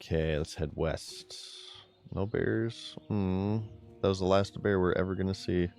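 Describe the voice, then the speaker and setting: A man with a very low, deep voice talks casually through a game stream, giggly and relaxed as he chats with viewers. Faint music plays behind him. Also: a little slow, creaky. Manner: giggly, laid-back, chatting to viewers while playing.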